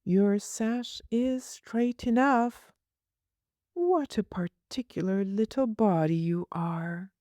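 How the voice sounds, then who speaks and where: A woman voicing a brisk, scolding but fond nursemaid in a storybook, with old-fashioned turns of phrase. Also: medium pitch, mellow, dry recording, studio quality, voice pushed up, close to an everyday voice. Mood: chiding, fond.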